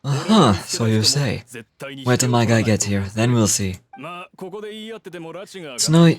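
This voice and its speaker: A man's voice: mid-range voice, big pitch swings, takes it slowly, a crowd in the background. An actor playing a talkative, self-assured middle-aged foreman in a fantasy game. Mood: proud.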